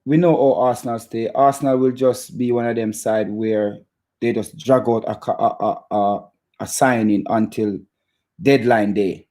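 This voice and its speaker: A man with a Jamaican accent hosts an informal football fan chat. His voice sits at a medium pitch. He talks in a halting, stop-start way, often repeating a phrase before moving on, as he addresses his viewers directly. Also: fairly even intonation, darkish tone. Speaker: football fan channel host. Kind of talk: casual chat to live viewers about football.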